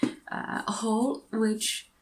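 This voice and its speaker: A woman's voice: a little high, flat delivery, very slow. A woman with a Finnish accent chatting casually about her books, correcting her own words as she goes. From Finland. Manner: chatty, self-correcting, giggly asides. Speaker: book vlogger. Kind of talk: casual book haul chat.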